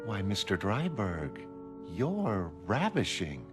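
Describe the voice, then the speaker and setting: A man's voice: fairly low pitch, huge pitch swings, takes it slowly, heavily acted. A man voicing a frank, affectionate adult woman with a casual, playful, soothing manner in intimate drama dialogue. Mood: teasing, flirtatious. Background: loud music.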